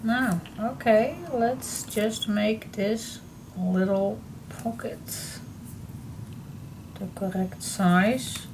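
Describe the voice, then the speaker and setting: A woman hosting a papercraft tutorial, chatting casually while she works, her voice a little froggy and hoarse. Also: mid-range voice, background noise. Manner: chatty, slow and relaxed, talks to herself while she works. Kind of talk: casual crafting tutorial talk.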